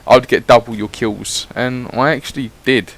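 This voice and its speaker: Rambling lad on gameplay commentary. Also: male voice, medium pitch, steady tone, moderate pace, vocal fry, fairly bright tone, poor audio. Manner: rambling, chatty, swears casually. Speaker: gaming YouTuber. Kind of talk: casual voice-over gameplay commentary.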